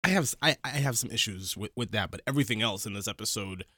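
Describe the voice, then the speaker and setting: A man's voice: on the low side, quick pace, sharp tone, no echo. A man co-hosting a podcast, giving blunt opinions in casual chat and repeating himself for emphasis. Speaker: podcast co-host.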